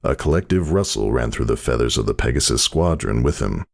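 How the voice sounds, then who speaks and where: A man with a very low, husky voice narrates fiction. His delivery is expressive, with lively rises and falls, as a storyteller reading from a script. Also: a little fast.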